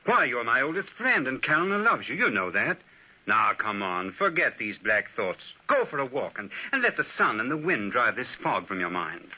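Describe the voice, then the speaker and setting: An actor playing a genial upper-class host who narrates an old radio drama in a hearty, theatrical manner. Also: male voice, mid-range voice, very animated, moderate pace, pushed and bright, breathy edge, full character voice. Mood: soothing. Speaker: narrator and lead character. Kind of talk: 1940s radio acting. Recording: dull old radio broadcast.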